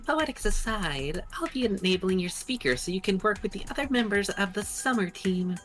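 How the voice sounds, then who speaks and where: A woman's voice: mid-range voice, lively intonation, moderate pace, soft background music, close to an everyday voice. A woman voicing a glib, mocking kidnapper who toys with a captive in a game. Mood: mocking, sinister. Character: mocking, nonchalant kidnapper toying with a captive pupil. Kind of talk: voiced game character lines.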